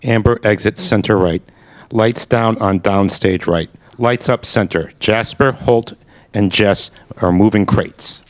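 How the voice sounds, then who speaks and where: Brief: plain, matter-of-fact male narrator, lowish voice, dry sound. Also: unhurried, no room sound. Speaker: narrator reading stage directions. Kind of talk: scripted narration.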